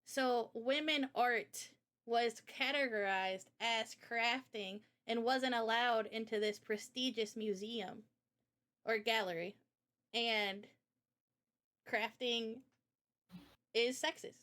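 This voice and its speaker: A woman with a somewhat high-pitched voice talks casually on a livestream. She explains things informally and with firm opinions, as if chatting with a friend. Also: takes it slowly, a bit bright. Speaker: streamer and game developer. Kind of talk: casual livestream chat, explaining art ideas.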